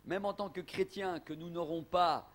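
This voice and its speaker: A man's voice: sits high, steady tone, normal speed. A man from Portugal preaching a sermon as an evangelist in a church, emphatic, with rising exclamations.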